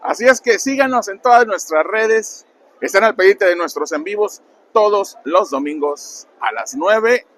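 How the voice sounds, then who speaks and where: A man's voice: high voice, lively intonation, moderate pace, fairly bright tone. A man from Mexico with a thick Mexican accent, interviewing fans at a convention in a friendly, stammering way. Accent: Mexican Spanish. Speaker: interviewer and channel host. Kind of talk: casual fan interviews at a convention. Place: busy convention hall.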